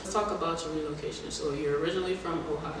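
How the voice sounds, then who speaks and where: A man's voice: fairly high pitch, steady tone, very fast, a loud crowd. A man interviewing a guest in a casual, informal chat with plenty of slang. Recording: echoey room, distant mic, noisy background.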